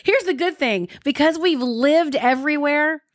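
A woman's voice: high-pitched, animated, a little slow, no echo, clean studio sound. A woman broadcaster from the American South with a neutral broadcast accent, chatting casually with her husband and laughing often. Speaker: broadcaster and co-host. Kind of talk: casual chat with spouse.

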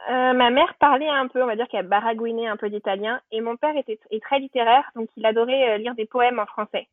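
A woman's voice: a little high, steady tone, fairly quick, no room sound. A woman from France telling her family story in a casual podcast chat, full of fillers and restarts. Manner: chatty, warm storytelling with frequent fillers and restarts. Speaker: guest. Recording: tinny phone-line audio.

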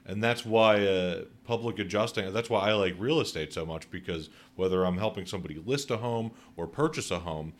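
A man's voice: deep voice, a little fast, hardly any pauses. A man hosting a casual interview podcast, a realtor who asks questions with upbeat affirmations.

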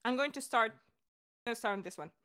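A young woman hosting a casual podcast chat, talking in a bubbly, excitable and informal way. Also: on the high side, a little fast. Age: young adult. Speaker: director and voice actor hosting a Q&A.

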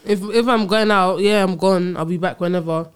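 A woman's voice: on the low side, normal speed, smooth. A young woman, teasing her cousin in slangy casual chat. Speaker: podcast guest, cousin.

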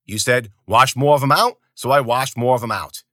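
A man's voice: mid-range voice, moderate pace, bright, forceful tone, dry recording, studio quality, natural voice. A man voicing a weary, reluctant male soldier leader who speaks in a subdued way.

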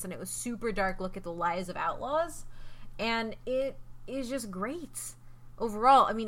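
A chatty woman hosting a podcast, her voice bright and forward. She talks enthusiastically, often pausing with ums as she goes. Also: mid-range voice. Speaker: podcast host. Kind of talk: casual podcast chat.

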